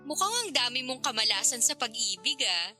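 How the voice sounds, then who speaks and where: A woman's voice: on the high side, big pitch swings, normal speed, sharp tone, soft background music, full character voice. An actress playing a curious, teasing, frank older girlfriend figure in a radio drama, talking in a playful, probing, casual way.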